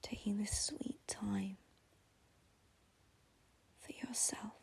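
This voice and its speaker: A woman whispering a slow, soothing guided meditation as its teacher. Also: medium pitch, fairly even intonation, gravelly, a little mellow.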